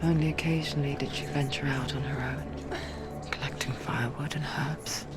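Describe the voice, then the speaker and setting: A woman playing an intimate narrator inside a heroine's mind in a video game, breathy, solemn and deliberate. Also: rumbling low, steady tone. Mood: melancholy. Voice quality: breathy whisper. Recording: loud music underneath.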